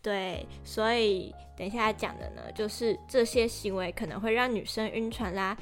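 A woman's voice: medium pitch, steady tone, normal speed. A woman with a mid-range voice talks casually as a podcast co-host. Faint music plays behind her conversational delivery.

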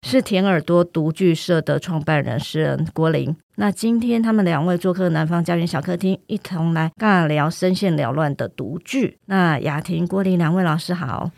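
A woman's voice: fairly low pitch, normal speed, vocal fry, few pauses, a little mellow, no room sound, studio quality. A woman with a Taiwanese Mandarin accent hosting a chatty podcast interview. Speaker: podcast host.

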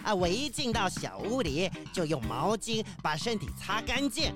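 A woman's voice: mid-range voice, very animated, moderate pace, music in the background, lightly acted. A woman playing a fussy bird who cares about being clean, speaking in a defensive, chatty way.

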